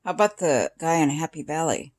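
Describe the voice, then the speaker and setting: A woman's voice: deep voice, expressive, a little fast, darkish tone. An older woman in casual chat, asking curious questions and giving short reactions. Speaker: interviewer questioning the other speaker.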